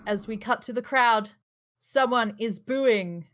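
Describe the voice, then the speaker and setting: A woman's voice: a little high, takes it slowly, fairly bright tone. A woman narrating the stage directions of a screenplay at a live table read.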